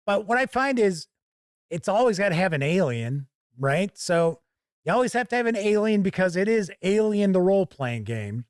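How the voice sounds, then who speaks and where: A man's voice: high voice, sing-song, moderate pace, smooth, dry recording, studio quality. A man hosting a conversational video product review, stressing points by repeating phrases. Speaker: host of a tabletop game review channel.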